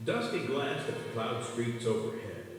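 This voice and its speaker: A man's voice: mid-range voice, lively intonation, moderate pace. A man reading scripted narration for a children's read-along storybook. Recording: echoey, distant, long reverb, music behind.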